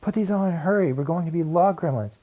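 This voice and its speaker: A man's voice: on the high side, fast talker, dark tone, pitched above the performer's own voice, lightly acted. A man voicing an earnest, bossy young schoolgirl with emphatic delivery in a children's storybook reading. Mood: urgent, eager. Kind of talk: children's storybook read-aloud.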